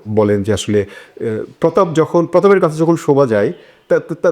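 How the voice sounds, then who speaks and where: A man's voice: medium pitch, darkish tone. Male host, mid-range voice, conversational, somewhat hesitant with repeated words. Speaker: discussion host. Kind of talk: conversational panel discussion.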